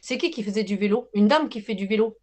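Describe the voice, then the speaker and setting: A woman's voice: mid-range voice, fast, warm tone. A woman giving a live medium reading to callers over a video call, hesitant and reassuring, often repeating herself.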